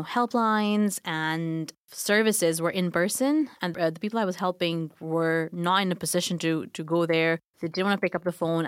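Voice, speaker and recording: Smooth low-voiced woman from Pakistan. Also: no room sound, studio quality. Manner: conversational, many fillers. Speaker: guest, nonprofit founder. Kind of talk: casual interview answers.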